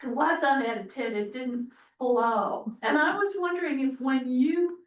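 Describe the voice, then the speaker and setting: High-voiced woman asking slow questions. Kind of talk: panel discussion. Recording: dull, roomy, far from mic.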